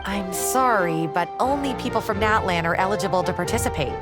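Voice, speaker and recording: Actress as formal female game clerk, medium pitch, courteous, explanatory, loud background music. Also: fairly quick, close to an everyday voice. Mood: polite. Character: polite, formal, by-the-book female registration clerk. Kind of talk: video game voice acting.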